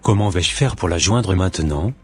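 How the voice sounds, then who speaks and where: A man playing a suspicious, impatient husband in an animated drama, speaking in a deliberate, formal way with a husky voice. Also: low voice, soft background music. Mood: puzzled, worried. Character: suspicious, impatient husband waiting for his unfaithful wife.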